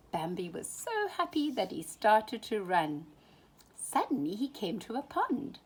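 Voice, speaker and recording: A woman reading a children's story aloud in an animated, dramatic way, often dropping into hushed tones. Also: fairly low pitch, slight background noise. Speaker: storybook reader.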